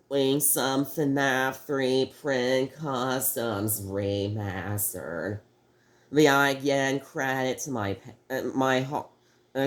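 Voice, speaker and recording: A man hosting a casual toy review video, talking in a halting, rambling way with many restarts, his voice a little off because he is sick. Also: mid-range voice.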